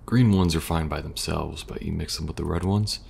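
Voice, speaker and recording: A man playing a weary, protective young survivor agent in an ASMR audio roleplay, speaking softly and reassuringly, a little hesitant. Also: extremely low pitch, normal speed, creaky, heavily acted. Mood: gentle, caring. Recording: faint background noise and ambience.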